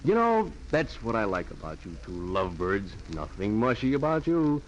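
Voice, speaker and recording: A man's voice: fairly low pitch, sing-song, moderate pace. An actor playing an easygoing, teasing idle young rich man in a 1940s radio drama. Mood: wry. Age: young adult. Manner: breezy, teasing. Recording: dull, hissy 1940s radio recording.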